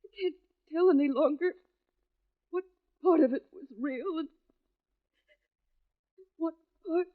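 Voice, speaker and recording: High-voiced, overwrought young woman. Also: slow, pauses often. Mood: dazed, distraught. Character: anguished young woman fleeing a lonely island. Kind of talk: 1940s radio mystery acting. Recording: muffled vintage radio recording.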